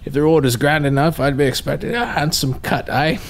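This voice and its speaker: A man playing a gruff greedy foreman. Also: on the high side, lively intonation, fairly quick, a little hiss or hum. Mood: somber. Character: gruff working foreman, greedy old friend. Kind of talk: audio drama acting.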